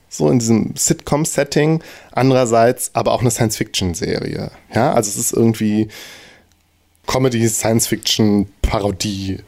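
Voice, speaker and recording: A man's voice: mid-range voice, vocal fry, darkish tone. A man hosting a casual podcast chat, talking in loose, hesitant sentences with many restarts. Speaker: podcast host.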